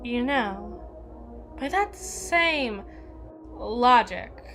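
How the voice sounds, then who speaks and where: Evil queen, played by a woman, regal, possessive and menacing; fairly high voice, unhurried theatrical delivery for an audio roleplay. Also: exaggerated voice. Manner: haughty, theatrical, deliberate formal diction. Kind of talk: ASMR roleplay voice acting.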